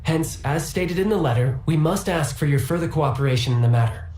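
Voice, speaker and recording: A man's voice: fairly high pitch, lively intonation, a little fast, a little mellow, close to an everyday voice. A man voicing a courteous formal guild attendant. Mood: instructive. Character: polite formal male merchant guild attendant. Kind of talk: video game voice acting. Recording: roomy game audio with music.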